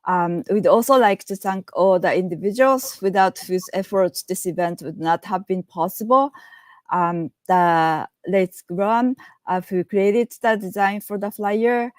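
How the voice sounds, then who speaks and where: A woman's voice: medium pitch, a little slow, no echo. A woman co-moderator giving opening thanks, hesitant, with many ums and uhs. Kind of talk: opening announcements.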